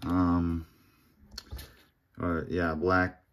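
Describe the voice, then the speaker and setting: Slow, low-voiced man murmuring over cards. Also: dull audio, slight background noise. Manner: murmurs player names one by one, relaxed and unhurried. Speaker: card collector opening packs. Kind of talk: casual pack-opening commentary. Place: home table with card collection display.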